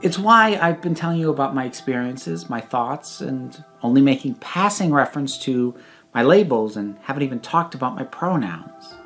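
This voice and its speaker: A speaker in their thirties giving a prepared personal reflection, with measured, emphatic phrasing and deliberate pauses. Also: mid-range voice, lively intonation, fairly bright tone, soft background music. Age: 30s.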